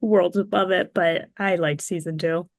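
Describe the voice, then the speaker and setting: A woman's voice: on the low side, steady tone, measured pace, no room sound, studio quality. A woman talking casually as a panelist on a podcast. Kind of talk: casual chat.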